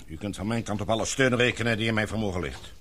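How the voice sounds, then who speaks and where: An actor playing a kindly older man in a Dutch radio drama, speaking in a paternal, measured, husky voice. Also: on the low side, fairly bright tone, natural voice. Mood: grave. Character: kindly, fatherly older man comforting a young woman.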